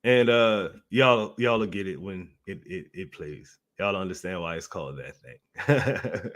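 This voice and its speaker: A man's voice: sits low, a little slow, fairly bright tone. A man in his thirties hosting his channel, telling stories to camera casually, chatty, laughing often and repeating himself. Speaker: channel host.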